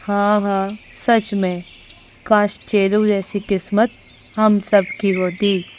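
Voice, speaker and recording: A woman acts out a doubtful, wistful animal from a storybook cartoon. The voice is flat in pitch and slow, with faint noise behind it. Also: natural voice.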